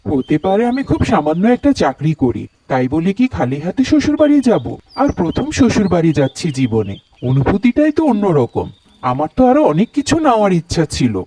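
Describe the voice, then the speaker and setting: A man's voice: fairly high pitch, dark tone. A man playing a humble, adoring young husband in a cartoon story, speaking tenderly and earnestly. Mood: cheerful, earnest. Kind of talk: Bengali cartoon story dialogue.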